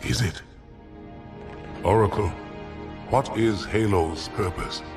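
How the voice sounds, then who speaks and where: A man's voice: fairly low pitch, slow pace, over music. A man voicing a noble, proud alien warrior in a video game, solemn, formal and resolute. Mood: solemn questioning. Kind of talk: video game cutscene acting. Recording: loud dramatic score behind.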